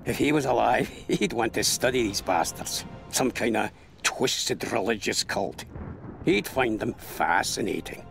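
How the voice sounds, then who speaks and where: An actor playing a gruff, bitter, protective older veteran with a gravelly voice in a game monologue. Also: male voice, fairly low pitch, measured pace, music in the background. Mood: grim worry. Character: gruff old veteran, foul-mouthed, protective, grimly bitter. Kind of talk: dramatic game voice acting, journal monologue.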